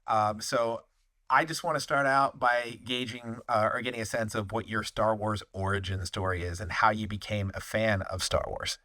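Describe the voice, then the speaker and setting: A man hosts a fan interview and asks his questions in an upbeat, friendly way. His voice sits fairly low. The sound is dry and close, with no room echo. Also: fairly even intonation, moderate pace, sharp tone. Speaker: podcast host, interviewer. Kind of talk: casual interview.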